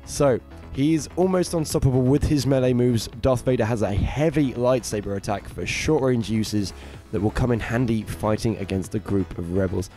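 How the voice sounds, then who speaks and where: Raspy-throated guy hosting games news, mellow tone, faint music behind. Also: medium pitch, moderate pace, hardly any pauses. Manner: enthusiastic, chatty, hesitant fillers. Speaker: co-host of gaming news show. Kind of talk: casual news roundup chat.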